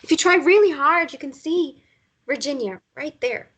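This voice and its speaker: Woman acting as wistful coastal mother, romance drama, fairly high pitch, reflective, understated, natural delivery. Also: expressive, moderate pace, a bit bright, dull audio. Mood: wistful, playful.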